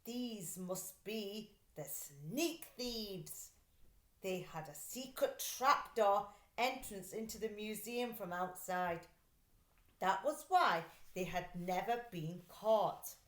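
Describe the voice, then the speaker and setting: A woman reading a story aloud for children in an expressive, deliberate, dramatic way. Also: medium pitch. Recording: roomy echo.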